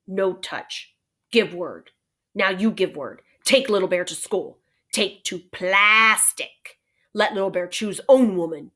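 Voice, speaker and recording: A woman acts out a tiny Indian warrior from a storybook, a proud and bloodthirsty man who is stubborn and sly. He speaks in short, clipped, broken English, slowly and with weight on each word. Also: mid-range voice, pushed and bright. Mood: firm, demanding.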